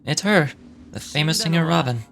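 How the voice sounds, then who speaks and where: A woman puts on a young schemer from a video game, a man with a voice dropped low. He is unhurried, self-assured and wry, never rattled. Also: expressive, creaky, slight background noise. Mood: cool, wry.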